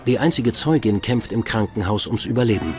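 A man's voice: lowish voice, expressive, a little fast, music in the background. A man reading scripted documentary narration as the narrator of a true crime podcast. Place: voice-over with music behind.

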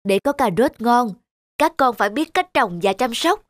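A woman voicing a patient, firm mother rabbit in a children's cartoon, speaking in a measured, instructive way. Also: on the high side, animated, no echo, clean studio sound, natural voice. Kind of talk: animated children's cartoon voice acting. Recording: music behind the voice.

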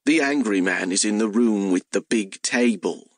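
A man's voice: lowish voice, normal speed, no room sound, studio quality. A man voicing a simple, literal-minded, food-loving talking hellhound in an audiobook, with childlike phrasing. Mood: earnest, simple.

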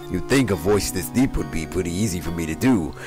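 A young man with a hoarse voice chats over a game he is playing, his pitch swinging lively up and down. He rambles and laughs through asides, while quiet game music plays behind him. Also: moderate pace. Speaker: let's play host. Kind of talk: casual game commentary and voice reading.

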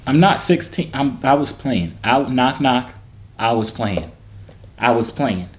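A man's voice: medium pitch, animated, unhurried, a little hiss or hum. Teasing young man on a phone video chat.

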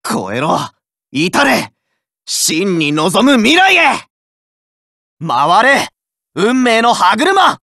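A hot-blooded teenage card fighter from a game, all rough boyish bravado. The voice is bright and forceful, leaping between low growls and high shouts. Between the cries he drags words out slowly, recorded close and dry in a studio. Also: sing-song, dry recording, heavily acted. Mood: resolute. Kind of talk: game voice lines, shouted battle calls.

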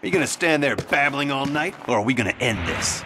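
A man's voice: medium pitch, expressive, fast, loud music behind the voice, close to an everyday voice. A man playing a cynical, sarcastic young masked superhero in a video game. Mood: mocking. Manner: sarcastic, quippy.

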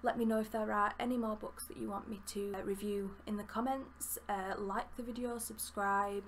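A woman's voice: mid-range voice, steady tone, normal speed, slight background noise. A young woman with a Yorkshire accent, chatting enthusiastically while reviewing a book at her desk, with plenty of fillers. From Yorkshire, England. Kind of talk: casual book review vlog. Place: small room with bookshelves, indoors.

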